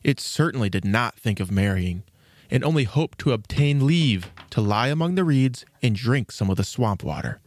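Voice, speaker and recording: A man narrates a storybook from a script. His voice sits at a medium pitch, and the recording is very dry with no room around it. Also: a little mellow, dry recording.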